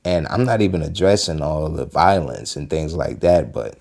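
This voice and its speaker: A man's voice: very deep voice, expressive, normal speed, creaky, darkish tone. A man in his early twenties from Western Pennsylvania, talking loosely about urgency in life and reacting to a clip, with lots of fillers and repeated phrases. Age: 20s. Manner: rambling, repeats himself, many fillers. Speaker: video host reacting to a clip. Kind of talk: casual motivational talk.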